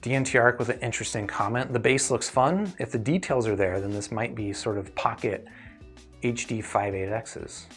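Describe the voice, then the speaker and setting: A man's voice: mid-range voice, moderate pace, fairly bright tone, soft background music. A man hosting a casual livestream question-and-answer session, explaining things in a thoughtful, hedging way. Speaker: host reviewing audio gear and answering viewer questions.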